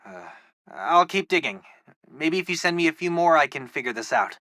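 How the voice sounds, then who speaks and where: An eager, geeky American teenage boy who serves as a superhero's tech helper in a video game. His delivery is informal and quippy, with animated swings in pitch and a bright, forward tone. The sound is very dry, with no room around it. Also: moderate pace, no echo. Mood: focused, curious. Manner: informal, eager, nerdy tech talk with quips. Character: geeky, excitable teenage boy hacker, loyal superhero sidekick. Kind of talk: video game voice acting, casual radio-style chatter.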